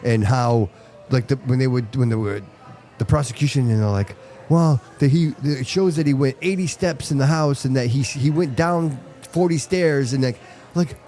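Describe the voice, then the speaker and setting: A man whose first language is Portuguese, hosting a live casual chat, animated and emphatic, saying dude and bro a lot. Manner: animated, rising pitch when emphatic, says dude and bro. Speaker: show host. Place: live event at a brewery venue.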